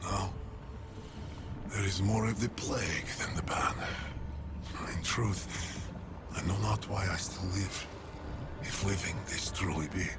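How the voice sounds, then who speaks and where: An actor playing an ancient, plague-corrupted forest elder in a video game, solemn, weary and mournful, with breathy, archaic, deliberate speech that sinks toward whispers. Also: male voice, low-pitched, very noisy, full character voice. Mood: weary, resigned. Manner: grave, archaic, deliberate storytelling with long pauses. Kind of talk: video game character dialogue, dramatic lore monologue. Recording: loud background music under the voice. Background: music.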